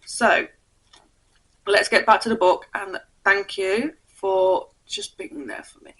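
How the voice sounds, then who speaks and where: A young woman chatting about books for her channel, a bit croaky from a cold, reading blurbs aloud and tripping over names. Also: mid-range voice, lively intonation, takes it slowly, a bit bright, poor audio. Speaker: book vlogger. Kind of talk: casual book haul chat.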